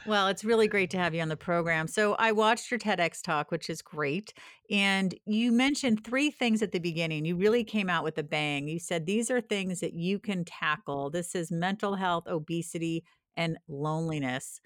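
A woman's voice: lowish voice, normal speed, dry recording, studio quality. A woman hosting a health podcast, talking in an upbeat, enthusiastic way. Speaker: podcast host. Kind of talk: podcast interview and book promo.

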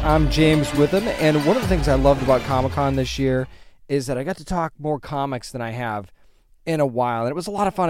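A man's voice: fairly high pitch, a little mellow. A man hosting a podcast, talking in a chatty, enthusiastic way and interviewing a guest. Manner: chatty, enthusiastic, loose restarts. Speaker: podcast host. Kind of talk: casual podcast chat and interviewing.

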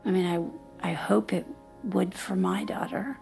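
An actress playing a reserved middle-aged mother who speaks softly and hesitantly, stammering a little, in an audio drama. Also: on the low side, steady tone, measured pace, gravelly, faint music behind. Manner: hesitant, soft-spoken, stammering.

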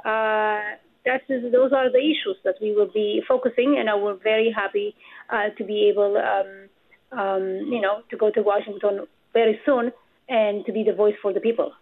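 Earnest woman in her forties, gravelly edge, phone-quality audio. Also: mid-range voice, steady tone. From Ethiopia. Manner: earnest, with frequent pauses and fillers. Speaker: political candidate. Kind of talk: interview answers. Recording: tinny phone line.

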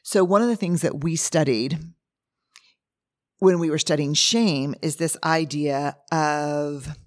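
A middle-aged woman whose voice is hoarse and husky today. She talks in a candid, lively way and acts out the things people say as she tells stories to her podcast listeners. The sound is dry and close to the mic. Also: sits low, slow pace, no room sound. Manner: candid, animated storytelling with acted-out quotes. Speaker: podcast host. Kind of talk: casual solo talk.

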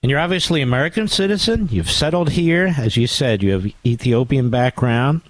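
A man's voice: medium pitch, lively intonation, fairly quick, dry recording. A man in his sixties hosting a talk radio show, speaking emphatically and combatively.

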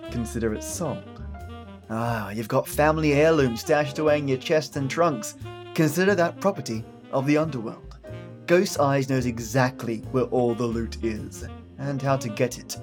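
A man's voice: mid-range voice, normal speed. A man hosting a podcast, playful and effusive, mixing chatty asides with scripted storytelling. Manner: playful, effusive, mildly profane. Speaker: podcast host. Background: music, plucked guitar.

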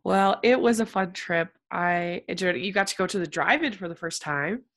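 A woman's voice: lowish voice, normal speed, fairly bright tone. A woman with a congested, sick-sounding voice, hosting a casual podcast as a film critic, chatty with lots of fillers. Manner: chatty, many fillers and restarts.

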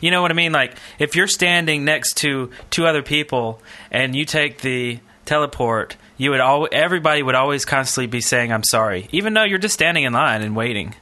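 A man's voice: medium pitch, fairly quick, few pauses, sharp tone. A man co-hosting a podcast, talking casually and informally, thinking aloud as he goes. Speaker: podcast co-host. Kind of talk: casual gaming podcast chat.

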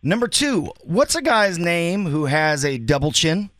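A man's voice: fairly high pitch, very animated, a little slow, background noise. A man hosting a casual radio game, reading out quiz questions. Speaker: radio show host, quizmaster. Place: dry radio studio.

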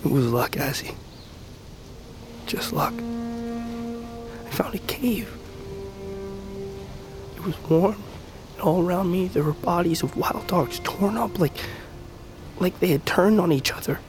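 An actor playing a gravely wounded, fatalistic blind tribesman in an audio drama, speaking weakly and haltingly as he reflects. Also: high-pitched, lively intonation. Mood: bitter reminiscence. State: wounded, weak, halting breath. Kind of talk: audio fiction drama acting. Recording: music bed under the dialogue.

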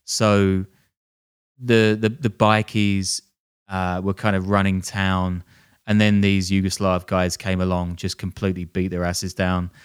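Man, podcast host, low voice, smooth and clear, jokey asides. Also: level tone, a little slow. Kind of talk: casual storytelling chat.

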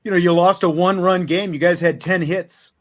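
Guy hosting sports talk, voice a bit on the high side, chatty recaps, rambles and repeats himself. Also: fairly even intonation, a little fast. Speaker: sports talk show host. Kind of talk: conversational sports interview with recaps.

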